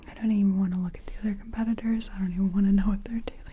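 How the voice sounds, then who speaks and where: Softly whispering woman, gentle ASMR roleplay. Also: mid-range voice, fairly even intonation, very fast. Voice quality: soft, breathy, mostly whispered. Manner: gentle, reassuring, murmured repetitions. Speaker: ASMR performer playing a makeup artist. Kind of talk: soft-spoken whispered ASMR personal attention roleplay.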